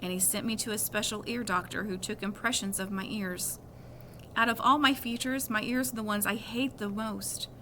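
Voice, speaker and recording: Female storybook reader, bright higher voice, first-person narration, some background noise. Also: fairly even intonation, normal speed, rough recording. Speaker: reader of a children's novel.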